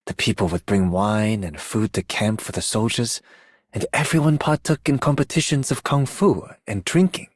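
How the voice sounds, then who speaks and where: An actor playing a genial, reminiscing soldier in a fantasy game, talking in a relaxed, conversational way. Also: male voice, on the low side, normal speed, dry recording, studio quality, natural voice. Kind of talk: game character voice line.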